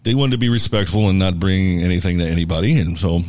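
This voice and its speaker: A man with a deep, booming voice hosting a casual live radio show from a bar, rambling easily with lots of fillers. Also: fast talker, no room sound. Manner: relaxed, rambling with many fillers. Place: bar and restaurant.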